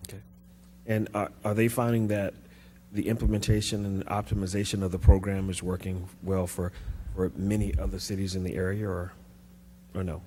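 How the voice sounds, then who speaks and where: A man's voice: deep voice, flat delivery, moderate pace, mellow, a little hiss or hum. A man on the city council questioning a vendor, often repeating words as he starts. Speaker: council member.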